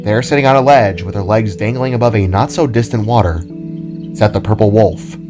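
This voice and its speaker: A man's voice: on the low side, a little fast. A man reading scripted fiction as a storyteller. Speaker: storyteller, narrator. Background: music.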